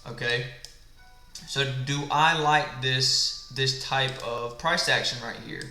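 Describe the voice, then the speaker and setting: A man in an echoey room with a gravelly, smoker-like voice. He sounds tired late at night and walks through his trading setup, asking and answering his own questions. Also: medium pitch, fairly even intonation, takes it slowly, bright, forceful tone. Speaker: trading instructor. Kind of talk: live screen-share trading walkthrough. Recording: echoey room with faint background music.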